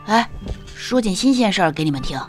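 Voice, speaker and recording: A woman's voice: medium pitch, animated, fairly quick, soft background music, lightly acted. A woman playing an eager, mischievous young boy in a historical audio drama. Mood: excited, gossipy.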